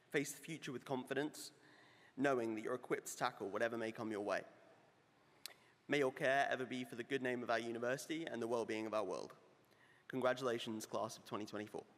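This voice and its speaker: A man, a students' union officer, giving an earnest, congratulatory prepared speech at a graduation ceremony. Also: medium pitch. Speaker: students' union vice president. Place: large ceremony hall. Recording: long hall reverb.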